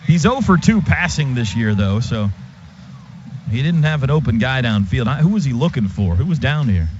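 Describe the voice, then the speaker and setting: A man doing live radio play-by-play of a football game in clipped phrases that can turn excited. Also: mid-range voice, normal speed. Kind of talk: live American football commentary. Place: stadium radio booth. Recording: noisy, muffled stadium radio broadcast.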